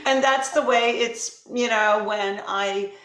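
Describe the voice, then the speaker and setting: A woman's voice: a little high, fairly even intonation, slow pace, fairly bright tone. A woman hosting a casual interview, talking in an informal, rambling, conversational way. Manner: rambling, informal, frequently laughing and agreeing. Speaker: interview host. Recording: echoey room sound.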